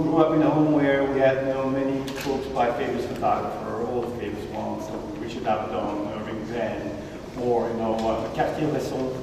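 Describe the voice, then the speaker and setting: A man in his fifties from Belgium, a photographer giving a casual gallery talk with halting restarts and self-corrections. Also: medium pitch, darkish tone. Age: 50s. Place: gallery hall. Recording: echoey hall from far off, noisy.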